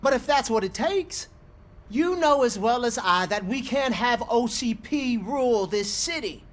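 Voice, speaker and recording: An actor playing a scheming, pushy city mayor in a video game, blunt and wheedling. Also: male voice, very high voice. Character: pushy, scheming male city mayor seeking election votes. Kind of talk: video game cutscene dialogue.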